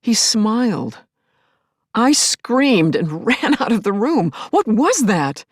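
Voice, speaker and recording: A woman's voice: fairly high pitch, big pitch swings, slow pace, no echo, clean studio sound, full character voice. An actress playing a grieving middle-aged widow in an audio play, telling her story in a searching, intimate way that can drop toward a whisper. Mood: shaken, astonished. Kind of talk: dramatic first-person monologue, audio play.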